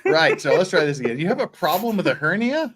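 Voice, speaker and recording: A man hosting a casual comic read-through, a little halting, slipping between reading aloud and jokey asides. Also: fairly high pitch, very animated, a bit bright. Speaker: host.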